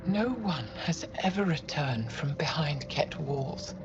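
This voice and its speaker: A deep, weakened alien elder. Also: female voice, animated, slow pace. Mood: weak, weary. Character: weary, weakened female alien captive elder. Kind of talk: video game dramatic acting. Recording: dull game audio under music.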